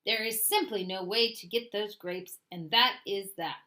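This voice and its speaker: A woman's voice: medium pitch, a little slow, pushed and bright. A woman voicing a sly, vain, bossy male fox in a storybook, with a pompous, condescending, showy manner. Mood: grumpy, huffy. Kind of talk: animated storybook read-aloud.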